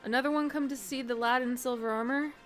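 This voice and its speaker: A woman voicing earnest fantasy game characters in a formal, courtly, measured manner. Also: high-pitched, fairly even intonation, a bit bright, faint music behind, pitched above the performer's own voice.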